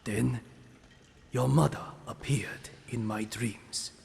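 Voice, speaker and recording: A man playing an aged rat sensei and stern, loving father in an audio drama, speaking formally and gravely. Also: mid-range voice, fairly even intonation, slow, a little mellow. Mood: grave, sorrowful. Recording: long reverberant hall echo.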